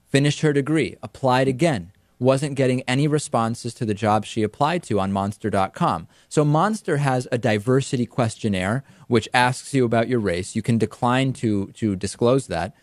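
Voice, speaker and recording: A man's voice: medium pitch, no room sound. A young man born in Argentina whose first language is Spanish, hosting a news commentary show in a studio with an emphatic, explaining manner. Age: young adult. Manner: emphatic, explaining with hand gestures. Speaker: talk show host. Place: TV studio set, indoors. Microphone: studio mic on a stand in front of him.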